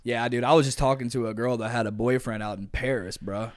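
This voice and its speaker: A man's voice: medium pitch, steady tone, quick pace, crowd noise. A young man co-hosting a podcast in casual chat, rambling with lots of slang and restarts. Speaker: podcast co-host.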